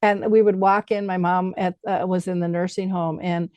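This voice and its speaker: A woman's voice: on the low side, little pitch movement, normal speed, a little mellow, dry recording. A middle-aged woman hosting a conversational interview, telling personal stories and acting out the dialogue in them. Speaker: podcast host.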